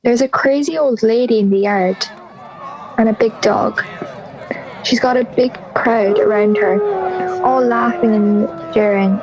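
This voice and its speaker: Blunt schoolmate passing on gossip young woman acting, matter-of-fact, slightly high voice, radio play style. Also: unhurried, a little mellow, muffled recording, slight background noise. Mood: urgent, gossipy. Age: teenage or young adult. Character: blunt gossipy school friend on a phone call. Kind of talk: audio drama dialogue.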